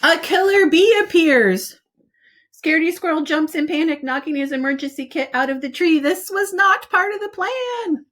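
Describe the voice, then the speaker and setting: A woman's voice: very high voice, normal speed. Woman reading a children's picture book aloud. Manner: expressive storytelling, addressing young listeners directly. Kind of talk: children's read-aloud with casual asides.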